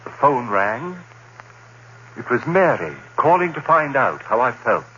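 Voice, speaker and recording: An actor playing a troubled, haunted man in an old-time radio horror drama, with a brooding, halting delivery. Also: mid-range voice, sing-song, pushed and bright. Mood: brooding dread. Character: troubled, haunted man narrating his own descent into dread. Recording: dull old radio recording with hiss and hum.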